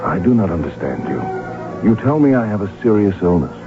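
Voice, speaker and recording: An actor playing a bored, stubborn, courtly archduke in a radio drama, speaking in a formal, dry way. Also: male voice, fairly low pitch, sing-song, fairly quick. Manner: formal, dry, world-weary. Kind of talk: 1970s radio drama acting. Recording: muffled old radio broadcast, music behind.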